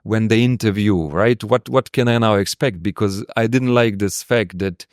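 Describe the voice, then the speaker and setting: A man from Slovenia chats as a guest on an interview podcast, pausing often and restarting his sentences. His voice sits low and sounds smooth and resonant. The sound is dry and close. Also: no echo. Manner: hesitant, many fillers and restarts. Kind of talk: casual interview chat.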